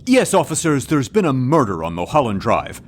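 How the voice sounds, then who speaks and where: A man's voice: mid-range voice, huge pitch swings, brisk, faint music behind. A man playing a flippant, hammy sitcom actor with theatrical delivery in a comedic audio drama. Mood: smug.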